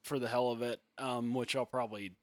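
A soft-spoken man in his thirties chats on a podcast in a fairly high, gentle voice. He rambles, restarts his sentences and leans on fillers. The sound is close and dry, with no room to it. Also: steady tone, fairly quick, dry recording. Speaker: musician and podcast co-host. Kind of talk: casual podcast chat.

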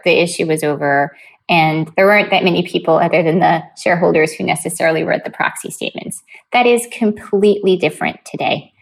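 A woman speaking as a corporate governance advisor in a professional panel discussion. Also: fairly low pitch.

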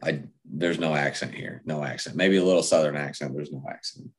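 A man's voice: a bass voice, brisk. A man from the Midwest in casual chat, modest and hesitant, trailing off as he talks.

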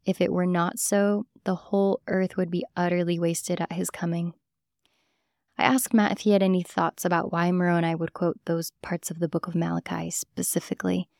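A woman's voice: lowish voice, flat delivery, normal speed, vocal fry, darkish tone, dry recording, clean studio sound. A woman hosting and reading scripted documentary podcast narration. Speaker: host and narrator.

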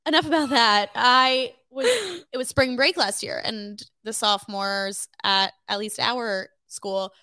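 A woman in her twenties from New Jersey, co-hosting a podcast in animated, playful casual chat. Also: a little high, measured pace, hardly any pauses, clear, resonant tone, no room sound. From Cherry Hill, New Jersey. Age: 20s. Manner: animated, playful and self-mocking. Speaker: podcast co-host.

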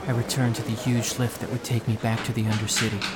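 A man acting the narrator of an audio drama, an earnest, self-doubting young man from an undercity slum, speaking in a halting, confessional, emotional way. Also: medium pitch, level tone, heavy background noise, close to an everyday voice. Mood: crushed, self-loathing. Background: music bed.

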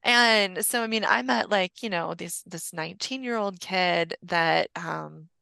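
Female podcast guest, forties, lowish bright voice, rambling conversational storyteller, close dry sound. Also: measured pace, gravelly, no room sound. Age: 40s. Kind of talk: casual personal storytelling.

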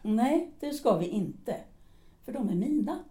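A woman's voice: fairly high pitch, sing-song, mellow. A woman playing a bitter, cutting middle-aged wife in an audio drama. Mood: scornful. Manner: cutting, scornful. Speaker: Alice. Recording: roomy echo.

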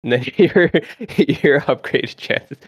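A man's voice: mid-range voice, takes it slowly, breathy edge, dry recording, lo-fi sound. A man, a podcast guest talking in a chatty, rambling way full of fillers. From Canada. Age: 20s. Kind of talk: casual gaming podcast chat.

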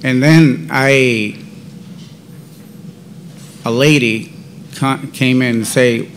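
A man speaking in unhurried, careful phrases, his Central American Spanish accent strong and his English halting. He recounts a personal faith testimony at a mid-range pitch. Faint noise sits under the voice. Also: steady tone. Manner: halting, non-native English; slow, deliberate. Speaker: podcast guest.

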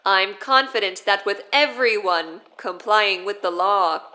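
A woman's voice: mid-range voice, fairly even intonation, a little slow, bright, forceful tone. A woman acting as a stern, authoritarian president giving a formal broadcast speech in an audio drama. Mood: firm, official.

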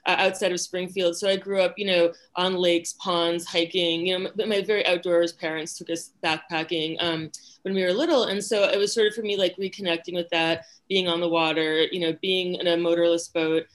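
A woman from Western Massachusetts, a rowing coach, telling her story in a relaxed, rambling way with many fillers. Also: on the low side, a little fast. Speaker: rowing coach and club board member. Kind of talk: casual interview chat.

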